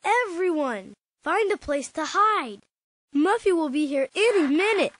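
A woman playing an eager, friendly young schoolboy in an animated children's storybook game. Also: very high voice, measured pace, slight background noise, exaggerated voice. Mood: excited.

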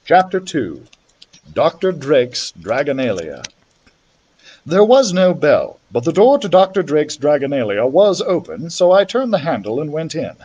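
Very animated male storyteller. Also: fairly high pitch, unhurried, slightly rough, dull audio. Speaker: library storyteller. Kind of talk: expressive children's story reading.

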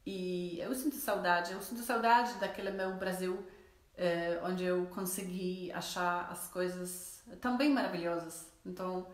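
A woman's voice: on the low side, steady tone, measured pace, reverberant. Polish-accented woman, chatty home vlog. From Poland. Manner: chatty, self-correcting, laughs between phrases. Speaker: vlogger. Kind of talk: casual chat to camera. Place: living room at home with fireplace.

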